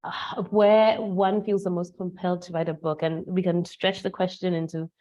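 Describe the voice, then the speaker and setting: A woman's voice: lowish voice, flat delivery, moderate pace, mellow. A woman interviewing a fellow writer in an informal, conversational way, on a slightly lo-fi recording. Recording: slightly dull, lo-fi sound.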